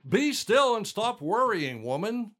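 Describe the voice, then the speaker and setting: A man's voice: sits high, very animated, unhurried, a bit bright, exaggerated voice. An actor playing a stubborn, devout old blind father in an audio drama. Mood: exasperated.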